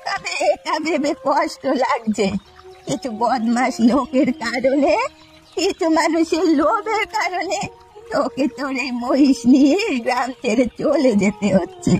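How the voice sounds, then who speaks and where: High-pitched, animated old village granny played by a woman. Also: rough recording. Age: older. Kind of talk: Bengali cartoon folk-tale dialogue.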